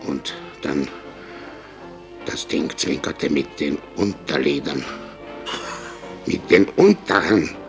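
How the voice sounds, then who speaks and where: An actor playing a hard-drinking, servile island boatman in a German radio drama, halting and wary, repeating himself in a deep, gravelly voice. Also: faint music behind, exaggerated voice. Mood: spooked, secretive.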